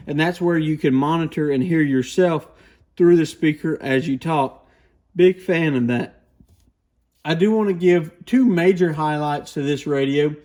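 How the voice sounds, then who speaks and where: Man reviewing gear, slightly high voice. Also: a little mellow. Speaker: host of an amateur radio video channel. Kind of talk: casual product review to camera.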